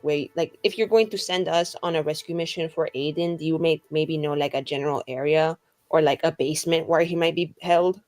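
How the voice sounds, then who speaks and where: A woman's voice: fairly low pitch, lightly acted. Woman playing a rambling, chatty young adventurer. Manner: rambling, casual, filler-heavy valley-girl phrasing. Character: chatty, naive, friendly young female adventurer. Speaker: player in a tabletop game. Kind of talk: improvised tabletop roleplay dialogue.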